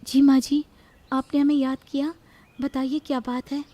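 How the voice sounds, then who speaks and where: A woman's voice: high-pitched, flat delivery, normal speed, mellow, pitched above the performer's own voice, close to an everyday voice. A woman voicing a meek, worried young daughter-in-law in a cartoon story, speaking with timid, respectful pleading. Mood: respectful, anxious. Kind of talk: Hindi cartoon story acting.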